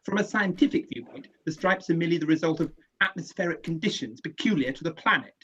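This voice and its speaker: An actor playing a calm, skeptical male astronomer professor in a radio play, measured and dismissive. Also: on the high side, husky, highs cut off. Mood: assured. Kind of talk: amateur radio play acting.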